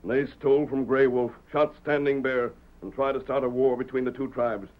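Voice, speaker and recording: An actor playing an earnest, authoritative American masked hero in a measured 1930s radio western style. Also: male voice, mid-range voice, fairly even intonation, close to an everyday voice. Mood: calm, earnest. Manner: measured, declarative explaining. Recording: muffled, faintly noisy 1930s radio recording.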